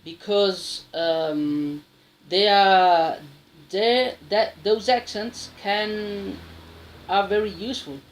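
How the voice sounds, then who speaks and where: Slow, halting man. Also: high voice, a little hiss or hum, poor audio. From South America. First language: Spanish. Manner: halting, with many restarts and a frequent tag question. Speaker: English learner sharing advice. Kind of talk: casual spoken monologue.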